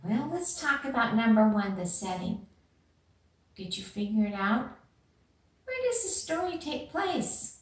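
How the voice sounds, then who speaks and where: A woman teacher reading a storybook aloud and talking to young children, expressive, with questions and pauses for them. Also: on the high side, slightly rough. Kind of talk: animated storybook read-aloud and lesson.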